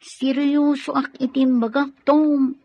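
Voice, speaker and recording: A woman acting a shy, sincere young student being courted in an Ilocano audio drama, with a breathy voice. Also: high voice, little pitch movement, slow, a little mellow, dry recording. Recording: dull, lo-fi dry recording.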